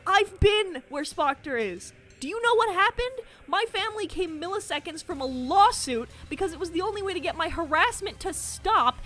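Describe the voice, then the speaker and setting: A woman's voice: extremely high pitch, sharp tone. A young woman delivering scripted commentary as an emphatic, accusatory rebuke peppered with swearing. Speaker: video commentator. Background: background music.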